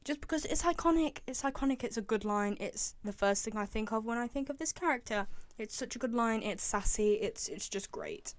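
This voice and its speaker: A woman's voice: on the high side, lively intonation, brisk. A young woman giving casual, opinionated commentary in a chatty, emphatic way. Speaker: video host, game fan.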